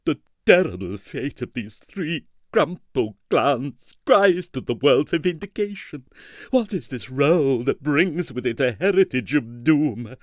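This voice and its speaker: A man's voice: medium pitch, animated, a little slow, dry recording, studio quality, natural voice. A man reading as a melodramatic woman newspaper columnist, florid and theatrical, in a dramatic audiobook style. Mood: tragic, overwrought. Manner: florid, theatrical, breathless with doom.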